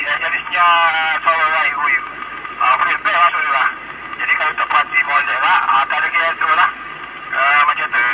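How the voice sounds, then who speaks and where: A man with a Malaysian accent chatting casually over two-way radio as an amateur radio operator. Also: fairly high pitch. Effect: two-way radio transmission. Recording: distant radio audio, loud vehicle noise.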